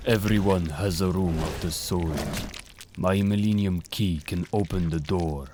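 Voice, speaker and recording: A man's voice: low-pitched, a little slow, background noise. A man playing a solemn, menacing mystical Egyptian avenger in an audio drama, speaking in a grave, portentous manner. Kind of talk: dramatic audio manga acting. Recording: voice over prominent dramatic music.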